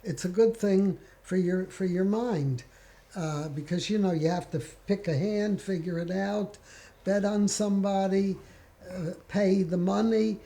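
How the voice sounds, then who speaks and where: Slow, creaky-voiced older New woman. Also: mid-range voice, dark tone. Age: older, 70s or above. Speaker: interviewee, longtime mahjong player. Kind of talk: casual reminiscing.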